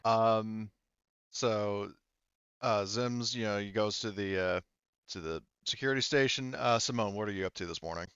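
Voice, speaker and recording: A man's voice: lowish voice, normal speed, fairly bright tone, clear, resonant tone, no room sound, lo-fi sound. A man running a tabletop game, narrating off the cuff in a casual, rambling way. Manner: casual, rambling, often says like. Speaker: game master.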